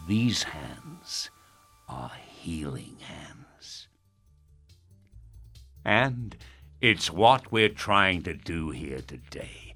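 Pompous, low-voiced Irish radio host. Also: male voice, sing-song, extremely slow, pauses often, pushed and bright, husky, faint music behind, full character voice. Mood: reverent storytelling, then grandiose. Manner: pompous, theatrical storytelling. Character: self-important, manipulative male radio host and politician. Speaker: actor in an audio drama. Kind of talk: audio drama acting as a radio host.

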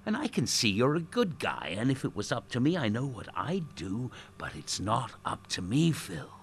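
A man acting a manipulative radio host in a dark audio drama, falsely kind, theatrical and coaxing, with a husky, gravelly voice. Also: mid-range voice, wildly swooping pitch, normal speed, a little hiss or hum. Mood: coaxing, pressing. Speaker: radio presenter.

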